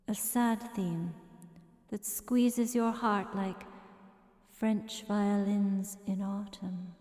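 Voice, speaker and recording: A woman reading poetic narration in a gentle, solemn, meditative manner, measured and pausing between phrases. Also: medium pitch. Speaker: poet reading own work. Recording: long echoing reverb with music behind. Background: music.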